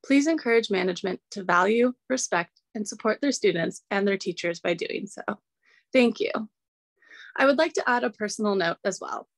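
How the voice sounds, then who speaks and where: A woman's voice: mid-range voice, expressive, normal speed, no room sound. A young woman, a college student, earnestly reading a prepared public comment over a video call. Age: young adult.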